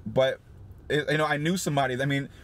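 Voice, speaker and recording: A man in his thirties talking casually in a parked car, animated and laughing often, with many restarts. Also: medium pitch, quick pace. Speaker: small business owner vlogger. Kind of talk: casual motivational vlog. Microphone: phone camera on dashboard.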